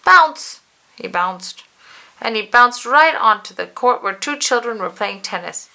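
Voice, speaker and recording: Expressive woman reading a children's story, creaky voice. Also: mid-range voice. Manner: animated, drawn-out emphasis, addresses the listener. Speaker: storybook reader. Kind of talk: expressive children's read-aloud narration.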